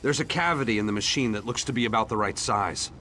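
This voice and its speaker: A man's voice: lowish voice, quick pace, pushed and bright, a little hiss or hum. A man playing a wry, sceptical townsman in conversational video game dialogue. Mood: curious, uncertain. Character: wry, sceptical male townsman seeking his fate. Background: faint game music.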